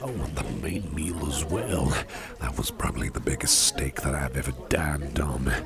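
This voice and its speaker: A man playing a big, muscular, jovial boss with a hearty appetite in an ASMR dinner-date roleplay, speaking in a folksy, soothing, reassuring way. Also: over loud music. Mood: indulgent, affectionate. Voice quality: very deep, gravelly. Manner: slow, soothing, chuckling, folksy, repeats phrases reassuringly. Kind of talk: ASMR roleplay, personal attention. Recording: restaurant crowd murmur behind the voice.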